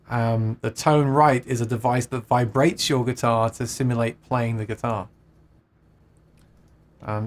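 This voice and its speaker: A man co-hosting a casual guitar livestream, reading viewer comments aloud and laughing often. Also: a little high.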